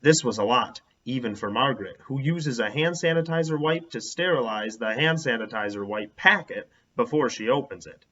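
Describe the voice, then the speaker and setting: A man's voice: medium pitch, lively intonation, moderate pace, hardly any pauses, fairly bright tone. A man reading a children's story aloud as a teacher would, expressively, stressing and drawing out words. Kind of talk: animated children's read-aloud narration. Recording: dull, lo-fi sound.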